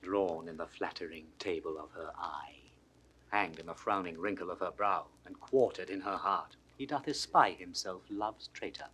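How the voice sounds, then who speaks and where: An actor playing a mocking, cynical nobleman in a 1960s Shakespeare audio drama, raspy and biting. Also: deep voice, normal speed, pushed and bright, a little hiss or hum, low-quality recording. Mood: scornful. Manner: sardonic, biting. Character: mocking, cynical blunt nobleman, sneering aside.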